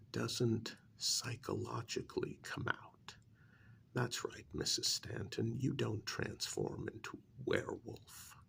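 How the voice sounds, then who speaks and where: A man playing a calm, shady doctor in an ASMR role-play, speaking softly and deliberately, often near a whisper, with light reproach. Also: mid-range voice, full character voice. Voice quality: soft, breathy, often dropping to a whisper. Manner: slow, deliberate, lightly admonishing, with drawn-out pauses. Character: calm, shady male doctor who transplants wolf eyes.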